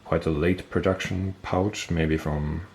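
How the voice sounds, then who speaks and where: A man with a deep, gravelly voice and a stuffy nose talks through a piece of gear on camera. He is relaxed and unhurried, thinking aloud, trailing off and correcting himself as he goes. A faint hiss of background noise sits under his voice. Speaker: military gear collector and video host. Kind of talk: casual show-and-tell explanation.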